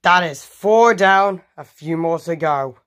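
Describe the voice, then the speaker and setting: Someone voicing a gloating, slow-talking crocodile villain. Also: medium pitch, a bit bright. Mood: gloating menace. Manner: menacing, gloating, taunting. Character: murderous gloating villain crocodile monster, prehistoric predator. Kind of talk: homemade dinosaur story play-acting.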